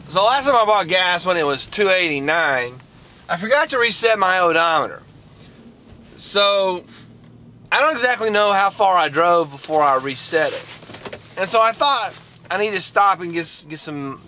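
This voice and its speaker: A man in his fifties chatting casually to his vlog audience in a halting way, often restarting sentences and repeating words. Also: on the high side, lively intonation, pushed and bright, a little hiss or hum. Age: 50s. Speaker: vlogger. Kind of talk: casual rambling vlog chat.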